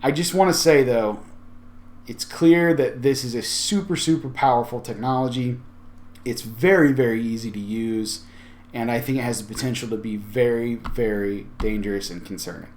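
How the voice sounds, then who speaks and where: A man's voice: medium pitch, moderate pace, a little mellow. Conversational man hosting a tech tutorial. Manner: informal, conversational, thinking aloud. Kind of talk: casual screen-share tutorial.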